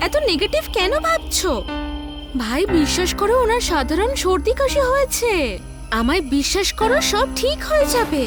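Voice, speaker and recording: A woman voicing a brisk, reassuring female village doctor in an animated story, chiding and emphatic. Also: extremely high pitch. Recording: music behind the voice.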